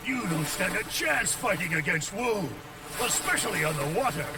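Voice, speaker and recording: A man playing a cocky, taunting battlefield officer in a video game, animated and combative. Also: high voice, normal speed. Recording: distant, noisy game battle audio.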